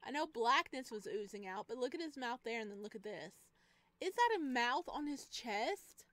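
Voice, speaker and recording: A woman doing casual, excitable let's play commentary and reading a visual novel aloud. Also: fairly high pitch, moderate pace, dry recording. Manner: expressive, excitable. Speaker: gaming video creator.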